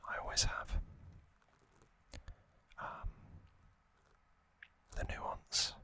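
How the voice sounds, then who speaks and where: A man hosting ASMR, narrating in hushed whispers with frequent pauses and a gentle, reassuring manner. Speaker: ASMR host.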